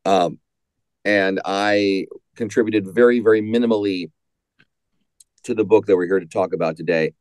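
A man's voice: on the low side, normal speed, long pauses, darkish tone, clear, resonant tone, no room sound. A droll man chatting casually as an interview guest about his audio work. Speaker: guest, audio drama writer and producer.

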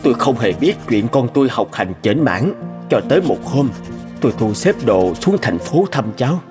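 A man voicing a middle-aged rural father in an animated cartoon, narrating and talking. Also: medium pitch, moderate pace, close to an everyday voice. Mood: reflective. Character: middle-aged rural father, worried then hooked on gaming. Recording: music under the voice.

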